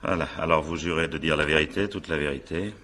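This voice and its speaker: An actor playing a brisk, impatient male magistrate in a French radio drama, with an authoritative, clipped and dryly ironic way of questioning. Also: rumbling low, fairly even intonation, bright, forceful tone. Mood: formal, brisk.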